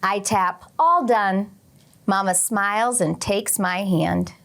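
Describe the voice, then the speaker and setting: A woman teacher reading aloud and talking to young children, expressively, with questions and pauses for their answers. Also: medium pitch, vocal fry, fairly bright tone. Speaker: teacher hosting a children's read-along. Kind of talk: read-aloud and lesson addressed to young children.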